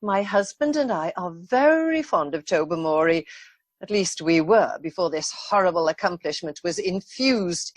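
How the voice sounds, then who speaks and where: A woman's voice: medium pitch, no room sound. A woman acting an aggrieved, imperious lady of the manor in a radio play, formal and reproachful. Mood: aggrieved, stern.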